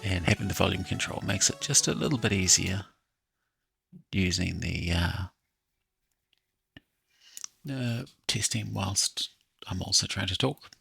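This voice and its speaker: A man with a heavily fried, husky voice talks through a coding session in a casual, think-aloud way. He takes his time, as if half speaking to himself. The sound is close and dry. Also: low voice, measured pace, pauses often, bright, forceful tone, no room sound, soft background music. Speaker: app developer hosting a coding session. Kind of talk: casual think-aloud screen-recording tutorial.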